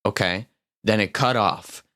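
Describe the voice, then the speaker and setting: A man's voice: on the low side, a little slow, sharp tone. A young man giving casual, slangy reaction commentary as a video host, with puzzled asides.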